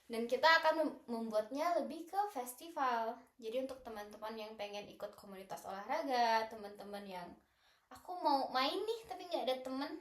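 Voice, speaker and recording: A young woman co-hosts a casual group chat in a giggly, playful way. Her voice sits somewhat high, and the room adds clear echo. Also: normal speed. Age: young adult. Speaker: student association podcast co-host. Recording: roomy, echoey indoor recording.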